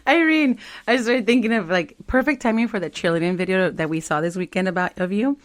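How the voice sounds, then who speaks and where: Animated woman podcast co-host. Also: fairly quick. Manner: animated, jumps into high-pitched quoted voices. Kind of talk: casual chat.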